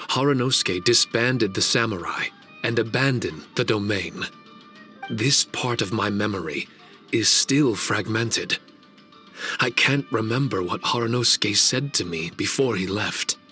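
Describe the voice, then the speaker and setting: A solemn ancient guardian spirit. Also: male voice, lowish voice, pushed and bright. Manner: formal, measured and grave. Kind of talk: video game cutscene dialogue.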